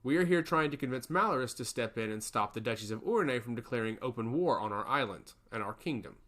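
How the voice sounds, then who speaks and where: Man playing a flirtatious prince with lively pitch. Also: fast, natural voice. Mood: courteous, eager.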